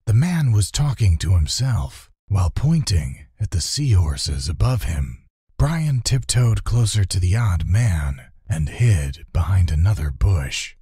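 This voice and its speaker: Male audiobook narrator, dark mellow tone, slow pace, suspenseful, theatrical, fantasy storytelling. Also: sits low, sing-song, husky, no room sound, studio quality. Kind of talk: dramatic scripted children's fantasy narration.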